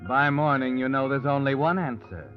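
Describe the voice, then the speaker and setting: A man's voice: medium pitch, moderate pace, faint music behind, close to an everyday voice. An actor playing a foreboding male narrator who addresses the hero as "you" in an old radio drama. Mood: ominous. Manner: second-person, knowing and foreboding. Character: the Whistler, ominous male narrator speaking to the hero. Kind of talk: 1940s radio acting. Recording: muffled old radio recording.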